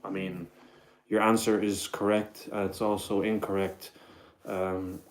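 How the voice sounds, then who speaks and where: A man's voice: low voice, flat delivery, measured pace. Man rambling on a casual livestream. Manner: casual and rambling, often addresses viewers as "bro"; keeps his voice down so he won't disturb the landlord. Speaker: livestream host reading chat comments. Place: at home, indoors.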